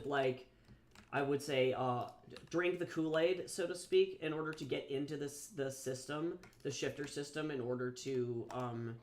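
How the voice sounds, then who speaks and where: A man who is a little sick, chatting casually and rambling with swearing and fillers while drawing on a livestream. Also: fairly high pitch. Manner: rambling, profane, many fillers and restarts. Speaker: livestreaming artist and author.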